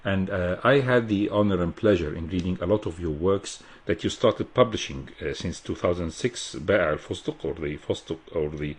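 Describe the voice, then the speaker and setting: A man hosting a radio interview, asking long, formal questions with many halting fillers. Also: low voice, slightly rough. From Egypt. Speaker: radio show host. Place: radio studio.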